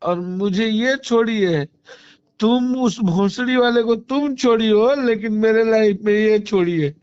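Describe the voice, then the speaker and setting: High-voiced man arguing, with Bhojpuri accent. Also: a little slow, darkish tone, clear, resonant tone. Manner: insistent, confrontational, slipping into dialect. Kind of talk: heated personal argument on a phone call. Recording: muffled, lo-fi viral phone-call recording.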